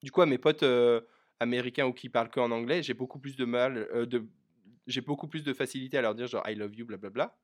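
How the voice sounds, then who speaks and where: A man's voice: medium pitch, fairly even intonation, fast. A young man co-hosting a podcast in a casual, conversational style. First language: French. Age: young adult. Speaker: podcast co-host. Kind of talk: casual chat.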